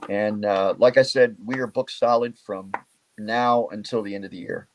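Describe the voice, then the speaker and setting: A man's voice: on the low side, steady tone, normal speed, a little hiss or hum. A man from Memphis, Tennessee, a guest musician chatting and plugging his shows in a friendly way. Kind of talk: casual interview chat.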